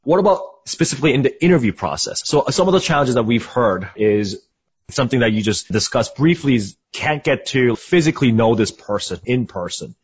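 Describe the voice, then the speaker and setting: A man with a low, somewhat husky voice hosts a business podcast, upbeat and quick to say "awesome." He moves from a scripted opening into relaxed interview questions, heard on slightly muffled audio. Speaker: podcast host. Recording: dull lo-fi audio.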